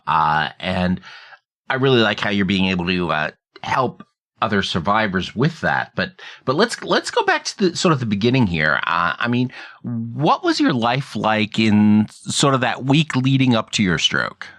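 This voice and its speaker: A man's voice: lowish voice, a bit bright. A middle-aged man, hosting a podcast with scripted introductions and conversational interviewing. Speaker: podcast host.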